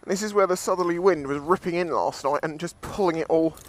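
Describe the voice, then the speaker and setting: A man's voice: a little high, quick pace. A British man giving casual, jokey, sweary commentary as he vlogs outdoors on a seashore. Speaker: beachcombing vlogger.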